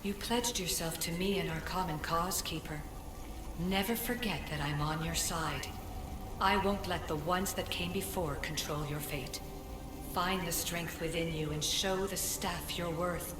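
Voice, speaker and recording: A woman playing an ancient, solemn spirit guide in a video game, speaking in a measured, formal and cryptic way. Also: fairly low pitch, close to an everyday voice. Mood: commanding, solemn. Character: ancient female Isu spirit in a staff, solemn commanding guide. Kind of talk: scripted game cutscene acting. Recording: echoey hall reverb over music.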